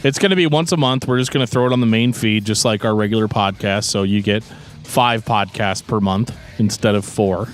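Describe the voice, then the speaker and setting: A man's voice: fairly low pitch, brisk, faint music behind. A man co-hosting a podcast in casual chat, explaining things in a joking, rambling way. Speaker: podcast co-host.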